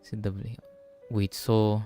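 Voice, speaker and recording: A man's voice: on the low side, little pitch movement, a little slow, warm tone, soft background music. A man from the Philippines, giving casual, sweary commentary while livestreaming a game. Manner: informal, sweary.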